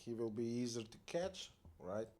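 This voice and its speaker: A woman's voice: extremely low pitch, lively intonation, normal speed, over a noisy crowd. A woman giving casual gameplay commentary in short, offhand remarks as she plays. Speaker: gamer playing through a story quest.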